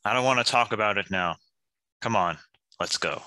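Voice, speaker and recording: Man as a very forceful, guarded adventurer. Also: fairly low pitch, moderate pace, dry recording. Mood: defiant, guarded. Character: gruff, guarded, fiercely protective male adventurer. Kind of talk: animated fantasy table read.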